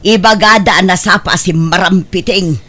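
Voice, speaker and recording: A woman's voice: on the low side, normal speed, fairly bright tone. A woman with an Ilocano Filipino accent playing a proud, stern mother of grown daughters in an audio drama. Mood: stern, bitter. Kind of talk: heartfelt audio drama acting.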